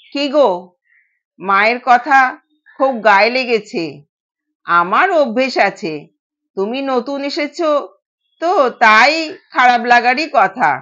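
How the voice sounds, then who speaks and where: A woman's voice: a little high, lively intonation, slow, long pauses, fairly bright tone. A woman voicing a concerned, sympathetic young husband in an audio story, recorded with dull sound.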